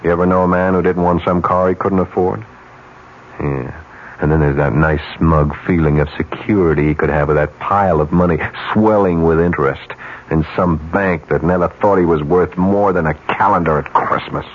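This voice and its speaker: Casting: male actor as smooth, deep-voiced cynical ad man, persuasive and emphatic, for a vintage radio thriller with muffled sound. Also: steady tone, normal speed, close to an everyday voice. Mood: smugly persuasive. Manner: smooth, chuckling, insistent and emphatic. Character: cynical, persuasive male ad executive scheming to corrupt a colleague. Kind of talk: radio mystery drama acting. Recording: dull, noisy old radio recording.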